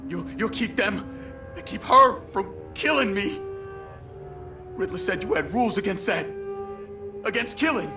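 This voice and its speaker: High-pitched terrified, stammering henchman. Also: expressive, unhurried, pauses often, music in the background. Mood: terrified pleading. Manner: stammering, pleading. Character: terrified, pleading male criminal henchman in custody. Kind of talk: dramatic video game acting.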